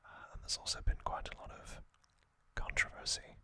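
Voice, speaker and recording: A man hosting an ASMR channel, softly whispering trivia in a relaxed chat with frequent "uh" and "um" fillers, close to a sensitive microphone. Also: moderate pace, dry recording.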